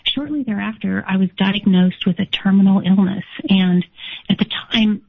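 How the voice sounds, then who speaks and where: A woman whose first language is German, hoarse today, telling her life story earnestly as an interview guest, often restarting her sentences. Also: medium pitch, fairly even intonation, normal speed. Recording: tinny phone-like line.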